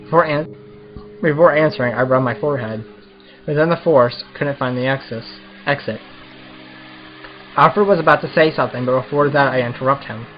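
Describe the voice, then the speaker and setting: A man's voice: medium pitch, a little fast, long pauses, highs cut off. A man doing a casual let's play, reading visual novel text aloud and commenting as the host of a gaming video.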